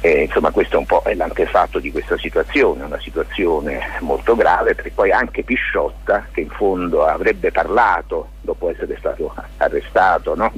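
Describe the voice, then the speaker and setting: A mature man, an interview guest, explains things informally and discursively, often hesitating, in a husky, rough voice. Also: low voice, normal speed, few pauses, muffled recording. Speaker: lawyer and theatre maker, interview guest.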